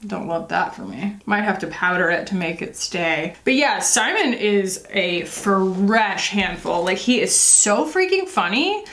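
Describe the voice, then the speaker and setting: A woman in her thirties talks through a makeup review in a loose, rambling way, full of fillers and self-deprecating jokes. Her voice sits at an ordinary middle pitch. The room around her is roomy and echoey. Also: big pitch swings, a little slow, hardly any pauses, a bit bright. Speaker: beauty vlogger. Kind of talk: casual chatty makeup review.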